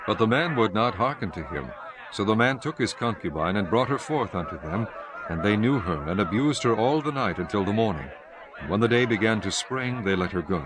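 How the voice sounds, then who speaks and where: A man giving a solemn, formal scripted narration of scripture as the narrator. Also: on the low side.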